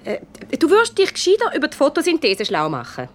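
Disapproving female schoolteacher, needling and dismissive, hugely animated pitch, children's audio drama, faint ambience. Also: fast talker, slight background noise. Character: Frau Waldvogel, dismissive, nagging woman science teacher. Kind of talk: children's radio detective play dialogue.

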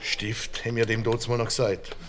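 An actor playing a modest, accommodating middle-aged shipping clerk with a Swiss accent in a radio play. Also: male voice, medium pitch, monotone, brisk, a little hiss or hum, lightly acted. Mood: sheepish, friendly. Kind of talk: conversational radio-play acting.

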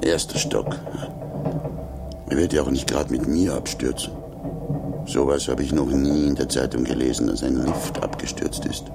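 An actor in a 1950s German radio play, playing an anxious, grumbling man who talks to himself with nervous self-reassurance in a gravelly, breathy voice. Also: a bass voice, fairly even intonation, normal speed, very noisy. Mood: uneasy, grumbling, then nervous, self-reassuring. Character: anxious, grumbling man alone in a rickety lift.

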